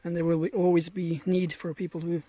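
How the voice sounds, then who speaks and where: Man with a high voice. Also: brisk, slightly rough. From Norway. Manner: relaxed, chatty, thinking aloud. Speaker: travel vlogger. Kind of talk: casual travel commentary to camera. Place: outdoors at a jungle elephant camp.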